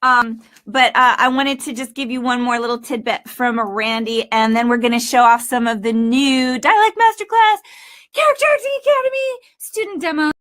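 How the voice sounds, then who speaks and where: A woman's voice: high voice, moderate pace, a bit bright. A woman speaking informally and encouragingly as a coach hosting a webinar. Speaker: host and voice acting coach. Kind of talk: webinar presenting, casual chat.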